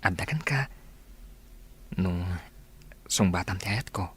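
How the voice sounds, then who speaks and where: A man's voice: low-pitched, expressive, takes it slowly, fairly bright tone, breathy edge, exaggerated voice. An actor playing a kind, sincere young male suitor with an Ilocano accent in a radio drama. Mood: sincere, romantic. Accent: Filipino, Ilocano.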